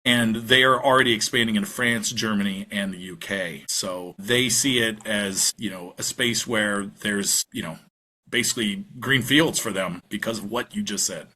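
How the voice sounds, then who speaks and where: A man's voice: lowish voice, moderate pace, sharp tone, poor audio. A man co-hosting a podcast in casual chat, talking conversationally with frequent "you know" asides. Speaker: podcast co-host.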